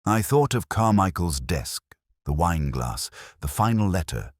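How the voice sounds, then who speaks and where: A man playing a weary, melancholy detective, narrating a mystery in the first person in a measured, contemplative, literary way. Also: sits low, very animated, no echo, studio quality, heavily acted. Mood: melancholy, reflective.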